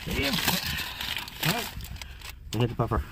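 A man's voice: sits high, wildly swooping pitch, normal speed, gravelly, very noisy. An older man from Nova Scotia giving dry, blunt replies in casual banter, picked up by a phone across the room. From Nova Scotia, Canada. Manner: dry, blunt, few words. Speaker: vlogger's partner. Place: parked truck, then a home kitchen.

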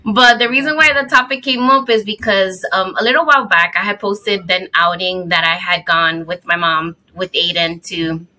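A woman in her thirties from the Dominican Republic, hosting a podcast in casual, animated chat from a home room on a stand mic over a video call. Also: fairly low pitch, moderate pace, few pauses. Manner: chatty, animated, acts out quotes. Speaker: podcast host. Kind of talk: casual conversational interview. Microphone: studio mic on a stand, headphones, video call.

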